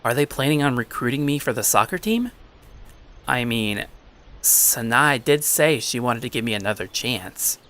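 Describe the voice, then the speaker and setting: A man playing an anxious, introspective high school boy who narrates his own story. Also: expressive, normal speed, fairly bright tone, close to an everyday voice. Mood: puzzled. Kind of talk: first-person scripted story narration. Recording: music under the voice.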